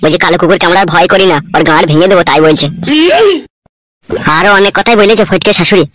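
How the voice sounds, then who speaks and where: Man doing an anxious rapid-fire henchman. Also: high-pitched, fairly even intonation, a bit bright. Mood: agitated reporting. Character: male gangster's sidekick, anxious, deferential, crude. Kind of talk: amateur comedy cartoon dialogue.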